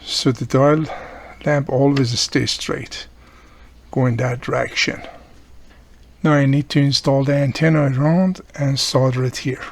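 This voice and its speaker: A man's voice: medium pitch, fairly even intonation, measured pace, vocal fry, slight background noise. A man who is not a native English speaker explains a hands-on repair step by step, unscripted, often checking in with the viewer. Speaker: radio repair hobbyist presenting a tutorial.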